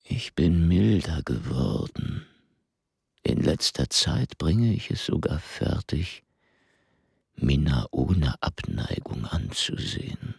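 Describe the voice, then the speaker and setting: A man acting an ageing, world-weary male scholar in a German radio play, musing slowly as if half to himself. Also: very deep voice, very animated, gravelly, a little mellow, breathy edge, no room sound, clean studio sound, exaggerated voice. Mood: softened, reflective. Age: older. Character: ageing male scholar, world-weary, bitter about family, oddly light.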